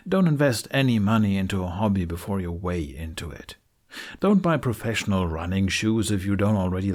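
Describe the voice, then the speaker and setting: A man with a fairly low voice presents a how-to voice-over. He speaks in a frank, conversational way, following a script but keeping it informal. It is recorded on a budget USB microphone in a living room. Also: a little mellow. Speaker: tutorial presenter. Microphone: budget USB microphone on an arm.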